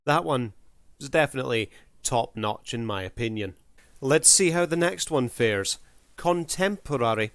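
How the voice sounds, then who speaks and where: A man's voice: mid-range voice, animated, normal speed, fairly bright tone, a little hiss or hum. A man hosting a review video, giving casual commentary in a showman-like manner with formal addresses to the audience.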